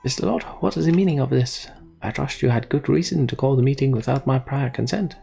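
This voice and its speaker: A man's voice: mid-range voice, brisk, vocal fry, mellow, slightly rough, faint music behind. A man voicing a stern, commanding knight captain from a fantasy game.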